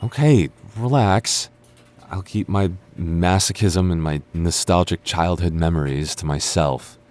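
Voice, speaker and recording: A man's voice: deep voice, unhurried, darkish tone, slight background noise. A young man acting as a sarcastic, teasing and stubborn childhood friend in a naturalistic boyfriend audio roleplay. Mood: irritated bickering. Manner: teasing, sarcastic, stammers when flustered. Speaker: voice actor.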